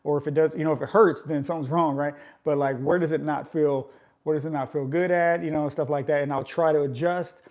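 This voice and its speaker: A man's voice: on the high side, fairly even intonation, brisk, a little mellow. A man in his forties chatting casually with live viewers about workouts, coaching in a conversational way and often tagging his points with "right?". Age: 40s. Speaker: fitness vlogger.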